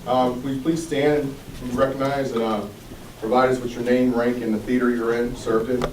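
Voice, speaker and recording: A man's voice: medium pitch, flat delivery, moderate pace, darkish tone. A man making formal announcements as host of a public meeting. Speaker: school board meeting host. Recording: echoey room, far mic, faint noise.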